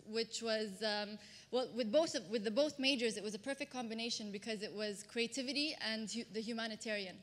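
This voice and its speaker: A woman's voice: a little high, a bit bright. A woman from Kuwait giving a personal storytelling talk as a public speaker at a conference. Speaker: public speaker, fashion designer. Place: large hall, speaking to an audience. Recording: reverberant hall, amplified stage talk.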